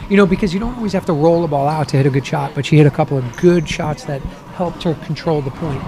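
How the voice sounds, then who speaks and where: Loud chatty male sports commentator. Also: a little high, quick pace, noisy background. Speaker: color commentator.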